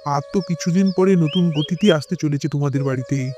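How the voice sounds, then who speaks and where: A man's voice: fairly high pitch, fairly quick, mellow, lightly acted. A man playing a kindly, caring married brother-in-law in a cartoon story. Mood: friendly, caring. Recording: music bed behind the voice.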